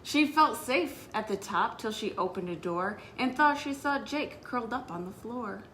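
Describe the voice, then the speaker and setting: A woman's voice: fairly high pitch, expressive, moderate pace, fairly bright tone, slight background noise, poor audio. A woman speaking as a children's librarian, hosting story time with announcements and read-aloud for young listeners.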